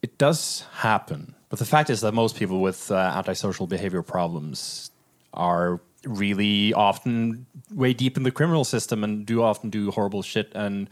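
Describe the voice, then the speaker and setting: A man's voice: on the low side, moderate pace. A man co-hosting a film podcast, talking casually and analytically in a conversational way.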